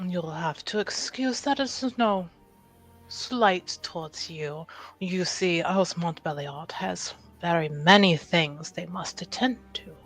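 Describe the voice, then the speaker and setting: A woman's voice: on the low side, slow, soft background music, rough recording. A woman voicing a poised, diplomatic noble guest with polite, formal phrasing in a tabletop roleplaying game. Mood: polite, composed.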